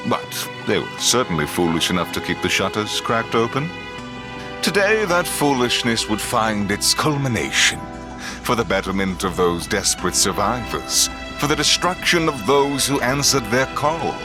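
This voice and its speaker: Grave, low-voiced space epic narrator. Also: male voice, sing-song, normal speed. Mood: grave, portentous. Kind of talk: dramatic scripted narration. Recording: loud music under the voice.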